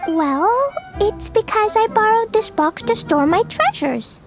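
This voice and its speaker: Tiny, very high-voiced innocent child. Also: female voice, big pitch swings, measured pace, music in the background, exaggerated voice. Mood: innocent, helpful. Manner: childlike, guileless, matter-of-fact. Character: innocent, blunt little child treasure hoarder. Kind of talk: video game voice acting.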